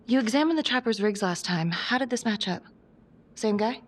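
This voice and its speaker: A woman's voice: fairly high pitch, lively intonation, normal speed. A woman playing a tough, hard-boiled police detective with a blunt, terse, impatient way of talking in a dramatic video game. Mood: businesslike.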